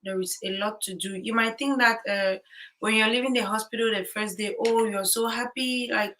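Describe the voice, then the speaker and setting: A woman's voice: fairly high pitch, fairly even intonation, moderate pace, a bit bright. A woman talking casually about life as a new mother, chatty with many fillers and repeated words. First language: English. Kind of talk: casual chat. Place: home in Korea.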